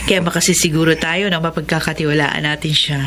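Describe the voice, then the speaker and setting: A woman playing a gentle, earnest wife and mother in a radio drama, with a Filipino accent. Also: deep voice, steady tone, fairly quick. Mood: warm, domestic.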